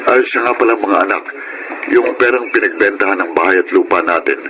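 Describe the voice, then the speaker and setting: A man's voice: low voice, a little fast, background noise, lightly acted. A man with a Filipino accent playing an earnest, repentant father in a radio drama. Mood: grateful. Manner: earnest, solemn. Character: repentant, reassuring father who squandered the family fortune. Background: loud music.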